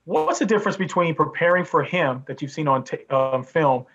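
Higher-voiced male reporter, dull audio. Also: animated, normal speed, a bit bright. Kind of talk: press conference questions.

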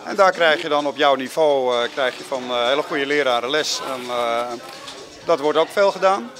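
A man managing a ski school, giving a promo interview in a halting way with many fillers. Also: fairly high pitch, a bit bright.

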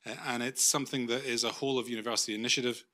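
Halting man with a handheld mic. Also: medium pitch, steady tone, sharp tone. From not Australia. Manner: hesitant, many ums and restarts. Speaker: university vice-chancellor. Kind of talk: formal vote of thanks speech. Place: lecture room, indoors. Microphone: handheld microphone.

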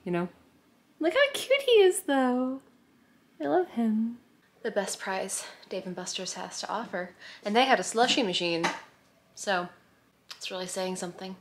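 A woman around her thirties chatting casually to camera in a playful, expressive way, stretching words for emphasis. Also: medium pitch. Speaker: vlogger. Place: apartment living room. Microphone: camera at arm's length.

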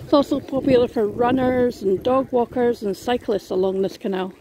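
A woman's voice: a little high, moderate pace. A woman giving casual walking commentary as she films outdoors on an urban path with a handheld camera mic. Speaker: vlogger filming a walk. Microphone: handheld camera or phone mic. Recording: windy, noisy outdoor handheld recording.

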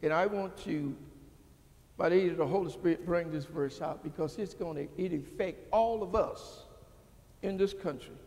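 An older man preaching a sermon from a church pulpit into a podium microphone, building from quiet talk into fervent, rising calls to the congregation. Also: on the high side, normal speed. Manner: preaching with rising call-and-response intensity. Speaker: pastor. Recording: church hall reverb.

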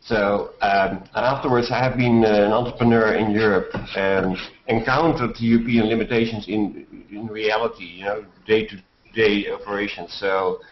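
Older man, low voice, rambling and hesitant, roomy dull headset audio. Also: steady tone, moderate pace, slight background noise. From Netherlands. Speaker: panelist. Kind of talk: self-introduction on video call. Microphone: headset on video call. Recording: echoey dull video call audio.

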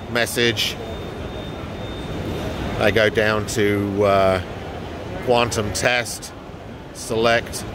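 A middle-aged man giving an informal product tour to camera as a company representative, recorded on a handheld phone in a large trade show hall. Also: on the low side. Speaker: business development manager presenting products. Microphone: handheld phone at arm's length. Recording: noisy exhibition hall ambience.

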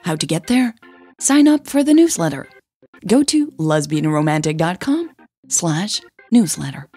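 A woman's voice: on the low side, very animated, measured pace, mellow, soft background music. A woman narrating a scripted audio story as a podcast host.

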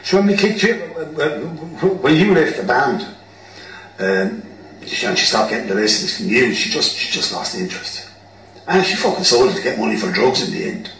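A man's voice: mid-range voice, sing-song, normal speed, fairly bright tone. A man playing a blunt, hot-tempered, wounded Irish bandmate in a radio play. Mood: downcast. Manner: blunt, emotional, sweary. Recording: roomy, distant mic, background noise.

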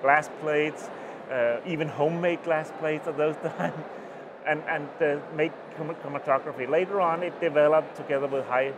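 Danish man with a Danish accent, halting. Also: fairly high pitch, steady tone, noisy background. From Denmark. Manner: halting, many restarts and fillers. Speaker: interviewee, mycologist. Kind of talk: oral history interview. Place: small booth at a conference, indoors. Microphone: lapel mic.

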